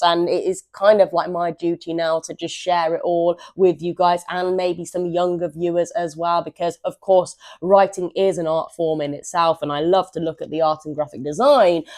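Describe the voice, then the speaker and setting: A woman hosting a gaming channel, hoarse from a cold, chatting in an upbeat, rambling way and stumbling over her words now and then. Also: lowish voice, darkish tone. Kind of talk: casual chatty walkthrough of a game manual.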